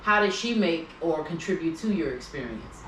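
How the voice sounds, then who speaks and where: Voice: mid-range voice, animated, normal speed, fairly bright tone, a little hiss or hum. An interviewer who asks probing questions and affirms the guest's answers. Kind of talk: interview conversation. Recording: echoey room, mic at a distance.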